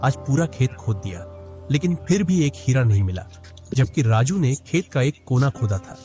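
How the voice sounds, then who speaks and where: A man's voice: medium pitch, very animated, normal speed, lightly acted. Cartoon farmer, poor and anxious, man voicing it, music bed. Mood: scheming. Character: poor greedy young farmer Ramu, pleading.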